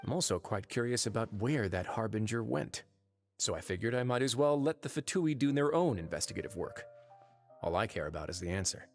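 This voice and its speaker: Low, composed, shrewd commander. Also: male voice, expressive, faint music behind. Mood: calm, explanatory. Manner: measured, formal, wry. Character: composed, shrewd man in charge of a fortress. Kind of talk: scripted video game voice acting.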